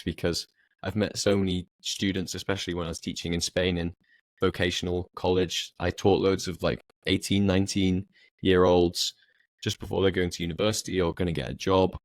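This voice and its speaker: A man with a very low voice explains language learning the way a teacher does, calm and measured. His pitch barely moves, so he sounds steady and flat. The recording is dry and close. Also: dry recording. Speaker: English teacher and podcast co-host. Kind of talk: casual chat.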